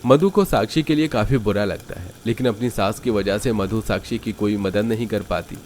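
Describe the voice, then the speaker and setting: A man's voice: fairly low pitch, normal speed, a little mellow, slight background noise. A man narrating a scripted cartoon story as a storyteller.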